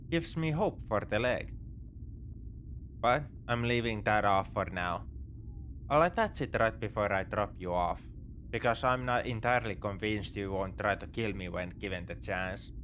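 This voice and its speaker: A man's voice: lowish voice, fairly bright tone, a little hiss or hum. A man playing a wry, morally grey space pirate in a sci-fi ASMR roleplay, with a conversational, nonchalant, dryly teasing delivery. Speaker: audio roleplay performer.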